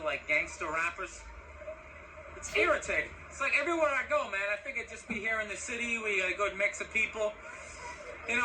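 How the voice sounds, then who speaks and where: A male comedian complains his way through a stand-up bit, sounding irritated. He talks quickly in a high voice. Clear noise runs behind him on the clip. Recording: noisy clip.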